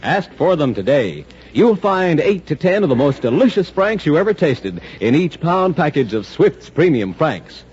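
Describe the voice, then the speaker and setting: A man's voice: on the high side, sing-song, normal speed, few pauses, darkish tone, slightly rough. A man with an American accent delivering scripted 1940s radio announcements in a polished, emphatic way. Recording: muffled 1940s radio recording with music.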